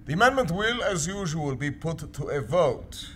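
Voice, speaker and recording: An actor playing a brisk, formal chairman of an anarchist meeting in a radio play. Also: a little high, sing-song, sharp tone. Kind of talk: theatrical radio drama acting. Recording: noisy crowded meeting ambience.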